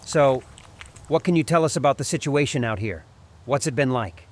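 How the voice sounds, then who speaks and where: A man playing a gruff, wary army sergeant who gives curt, commanding orders in an audio comic. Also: mid-range voice, moderate pace, natural voice. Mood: questioning.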